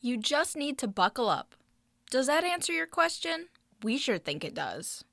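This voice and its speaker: A woman plays an upbeat girl who runs her club with confidence in a children's story. Her youthful voice sits high and has a bright, forward edge. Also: sing-song, moderate pace, vocal fry, no room sound, studio quality, full character voice. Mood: earnest. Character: Riley, upbeat take-charge girl leading a club. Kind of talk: scripted children's audio story.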